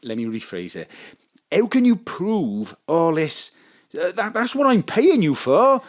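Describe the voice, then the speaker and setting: A man's voice: sits high, very animated, measured pace. A man playing a gullible, tipsy pub drinker in a comic audio drama, slurring and befuddled. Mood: anxious, hushed.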